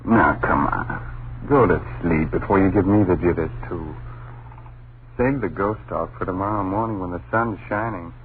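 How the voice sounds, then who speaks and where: An American actor playing a sleepy, dismissive husband and father in an old-time radio suspense drama, gruffly reassuring in slangy, drawn-out exasperation. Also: sits low, natural voice. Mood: exasperated. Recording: tinny, noisy old radio recording.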